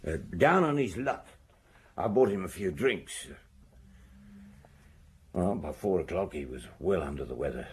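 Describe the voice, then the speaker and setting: An actor playing a shifty small-time crook and ex-sailor in a radio crime drama, talking in a rambling, colloquial way. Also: male voice, sits low, animated, measured pace, pauses often, slight background noise. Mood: rambling, confiding. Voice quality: husky, gravelly. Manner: rambling, hesitant, colloquial storytelling.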